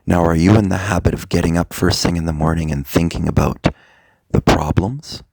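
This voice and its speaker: A man speaking as a meditation coach in a guided, motivational style, deliberately, in short phrases with long pauses, his voice gravelly and husky. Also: deep voice, fairly even intonation, a little mellow, no echo.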